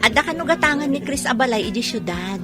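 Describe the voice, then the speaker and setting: A high female voice with an Ilocano accent and music behind it. A woman plays a sensible, friendly young village woman in radio drama style. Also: animated, fast, lightly acted. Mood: grateful.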